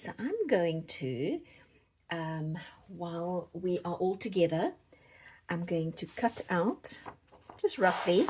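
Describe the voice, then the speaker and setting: A woman's voice: on the low side, background noise. A South African woman chatting casually to viewers as the host of a craft channel. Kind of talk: casual chat to viewers while doing a giveaway drawing.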